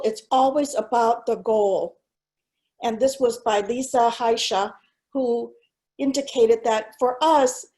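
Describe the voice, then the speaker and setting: Earnest, measured middle-aged woman speaker. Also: a little high, a little mellow. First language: Cantonese. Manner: measured, earnest, pauses with fillers. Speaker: school superintendent giving a keynote. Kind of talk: presentation with slides.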